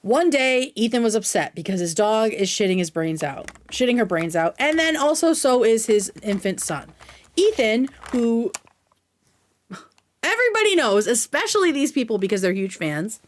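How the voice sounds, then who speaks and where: A woman's voice: fairly high pitch, big pitch swings, normal speed, slight background noise. A woman hosting a casual livestream commentary, speaking in a sarcastic, emphatic way with plenty of slang. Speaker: livestream host.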